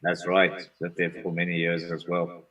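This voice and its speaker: South African man, very low voice, Afrikaans first language, set back from the mic. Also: monotone, normal speed, a bit bright, highs cut off. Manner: plain, agreeable short answers. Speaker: guest. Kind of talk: podcast interview. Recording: voice distant from the mic.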